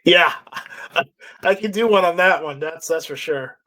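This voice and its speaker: Higher-voiced man hosting a casual live stream. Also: sharp tone. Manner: halting, repeats words. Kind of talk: casual chat, reading out viewer comments.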